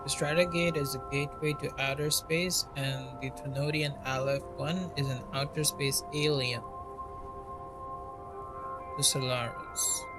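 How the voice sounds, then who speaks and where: A man's voice: medium pitch, steady tone, measured pace, music in the background, low-quality recording. A man livestreaming a video game, reacting and reading lines aloud.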